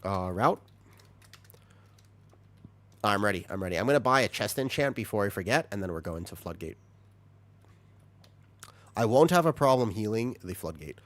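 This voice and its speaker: A man streaming a video game, chatting with viewers, reacting with excited high bursts and then dropping into quiet asides. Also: moderate pace, pauses often, no room sound. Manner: animated, jumps into high excited bursts while reading chat; drops to low, quiet asides while setting up. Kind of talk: live-stream chat while gaming.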